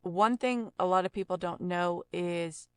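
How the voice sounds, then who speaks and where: A woman instructing in a casual step-by-step software tutorial, explaining each step plainly into a close mic. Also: on the low side, unhurried, clear, resonant tone, no room sound.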